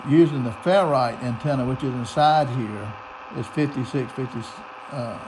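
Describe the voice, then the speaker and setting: A man's voice: mid-range voice, moderate pace. A man giving a casual, unscripted hands-on radio tutorial, narrating each step as he goes. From United States. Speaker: radio hobbyist demonstrating a receiver. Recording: noisy, shortwave radio static behind. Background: radio static and noisy broadcast.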